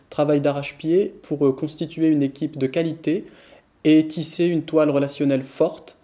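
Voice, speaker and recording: A man's voice: on the high side, dark tone. A man who grew up in France, answering interview questions as a business manager, hesitant with frequent fillers.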